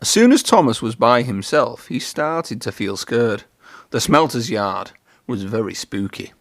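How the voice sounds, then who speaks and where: A man reading a children's story aloud in an expressive narrating style. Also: medium pitch, a little slow, no room sound.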